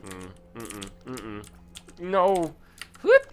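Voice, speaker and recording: Male let's-play host, animated delivery, casual and profane, prone to loud outbursts. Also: medium pitch, drawn-out words, a little hiss or hum. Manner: swears freely, yells in bursts of frustration. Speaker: gaming commentator.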